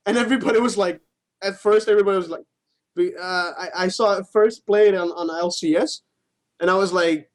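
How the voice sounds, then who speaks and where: A man co-hosting a gaming show, chatting casually in a halting way, repeating words and swearing freely. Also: high voice.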